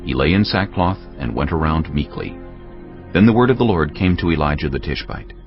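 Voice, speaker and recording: A man with a gravelly, husky voice giving dramatic scripted narration of scripture. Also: a bass voice, animated, fairly quick, lo-fi sound, soft background music.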